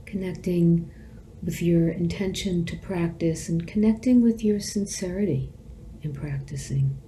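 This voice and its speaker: A woman teacher leading a guided meditation, speaking gently in measured phrases with long pauses between them. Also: lowish voice, slight background noise, low-quality recording.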